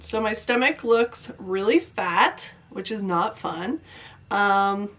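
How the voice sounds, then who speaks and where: A woman's voice: mid-range voice, takes it slowly, a bit bright. Congested woman chatting casually to camera. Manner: chatty, rambling, self-questioning. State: congested from a cold. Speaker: vlogger. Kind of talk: casual diet vlog to camera.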